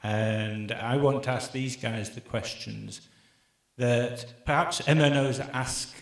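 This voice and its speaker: A man moderating a conference panel on stage, upbeat, with quick asides to the audience. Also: mid-range voice, gravelly. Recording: echoey conference hall.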